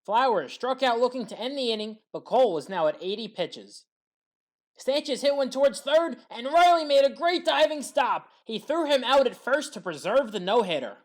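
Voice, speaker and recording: A woman reading a scripted play-by-play sports recap in a measured, factual way. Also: a little high, animated, a little mellow. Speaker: sports highlights commentator.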